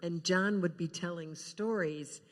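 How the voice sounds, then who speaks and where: An older woman shares film memories as a panel guest. She speaks haltingly, often doubling back on words as she builds an anecdote. Her voice rings in a large, reverberant hall. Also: on the low side. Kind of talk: panel reminiscence, anecdotal storytelling. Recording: echoey hall with long reverb.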